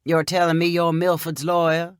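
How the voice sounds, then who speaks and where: A woman's voice: lowish voice, completely flat delivery, moderate pace, clear, resonant tone, dry recording, studio quality, close to an everyday voice. Storybook part: easygoing Southern backwoods fish seller, folksy drawl, female reader acting him out. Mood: gruff, amused. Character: laid-back rural Southern man, a catfish seller. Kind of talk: audiobook character dialogue.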